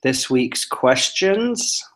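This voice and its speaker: A man's voice: medium pitch, big pitch swings, very slow, a bit bright. A man chatting casually on a video call with a study partner, laid-back and easily distracted, cracking jokes before getting to coursework.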